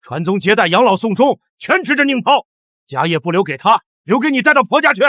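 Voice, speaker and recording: A man's voice: high-pitched, sing-song, brisk, fairly bright tone, no echo, clean studio sound. An actor playing a harsh, callous middle-aged father in a melodramatic short drama. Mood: callous, smug.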